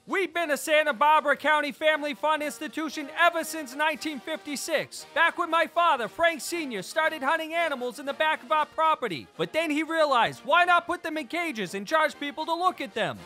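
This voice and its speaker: A woman voicing a brash, folksy male owner of a roadside safari park, boastfully hard-selling it in a parody local commercial. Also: sharp tone. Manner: boastful hard-sell pitch.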